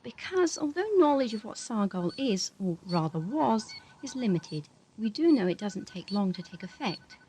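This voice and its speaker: A woman playing a brisk, sardonic space station doctor in an audio drama, dry and assured. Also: medium pitch, sing-song, dark tone, natural voice, poor audio. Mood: stern. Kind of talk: radio drama acting. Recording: hissy amateur 1980s audio drama tape.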